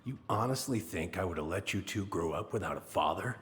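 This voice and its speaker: Tired folksy middle-aged handyman in a game, self-deprecating, low voice, echoey with some noise. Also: animated, brisk. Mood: defensive, rueful. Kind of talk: naturalistic video game acting.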